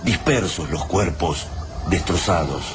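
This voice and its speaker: An Argentine actor narrating a grave war story for a radio drama in a solemn, literary way. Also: male voice, low-pitched, lively intonation, slow, noisy background. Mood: somber. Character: grave narrator of a wartime invasion tale. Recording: music behind the voice.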